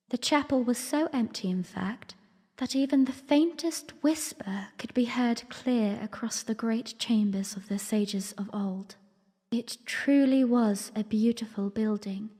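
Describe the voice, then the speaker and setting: A woman playing a young, refined princess, narrating her memories earnestly and formally in a breathy voice for an audiodrama. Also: a little high, mellow. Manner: storytelling, formal. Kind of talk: first-person dramatic narration. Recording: long reverberant hall echo.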